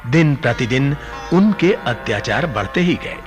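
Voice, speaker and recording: An actor playing a learned sage who tells sacred legends in a deliberate, expressive radio drama manner. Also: male voice, mid-range voice. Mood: solemn narrating. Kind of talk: mythological radio drama storytelling. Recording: studio radio play with music behind.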